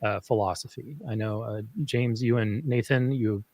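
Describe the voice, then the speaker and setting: A man with a mid-pitched voice hosts a livestream panel, recorded close and dry. He sounds enthusiastic but hesitant, filling his sentences with ums and uhs. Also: fairly even intonation, a little mellow, no room sound. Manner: filler-heavy, enthusiastic, halting. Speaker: panel moderator.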